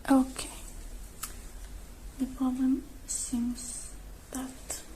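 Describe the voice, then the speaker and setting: A high-voiced woman performs an ASMR roleplay in a soft, mellow murmur that often drops into a whisper. She phrases gently and leaves pauses between her words. There is faint noise behind her. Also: completely flat delivery.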